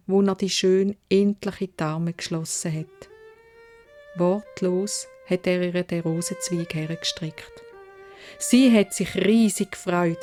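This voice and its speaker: A woman's voice: lowish voice, a little mellow. A woman with a Swiss German accent narrating a children's fairytale in dialect as a storyteller.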